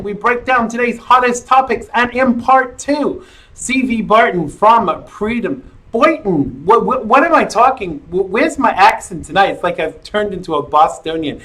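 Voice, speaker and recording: A man's voice: high-pitched, a bit bright, low-quality recording. A middle-aged man hosting a live-stream talk show, chatting in a rambling, jokey way with lots of fillers.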